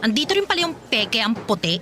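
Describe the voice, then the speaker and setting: A woman's voice: on the high side, very animated, fast talker. A woman acting a feisty, jealous young eatery owner in a Filipino radio drama, sassy and confrontational. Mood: sassy, taunting.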